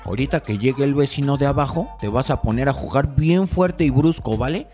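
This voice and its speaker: A man's voice: mid-range voice, a little fast, warm tone, soft background music, lightly acted. A man playing a scheming, petty neighbor dad with a Mexican accent in a comedy sketch. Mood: sly, matter-of-fact.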